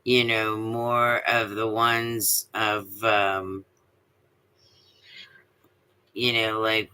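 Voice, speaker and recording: A woman with a deep voice chatting casually on a live stream, rambling with many fillers and restarts. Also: level tone, slow pace, sharp tone, clear, resonant tone, poor audio. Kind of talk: casual chat.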